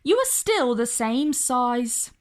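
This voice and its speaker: A woman plays an older boy monkey in a storybook tale for children. He sounds high and matter-of-fact, the sensible friend who brushes off silly hopes. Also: very animated, slow pace. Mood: dismissive. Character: bigger male monkey friend, sensible and dismissive.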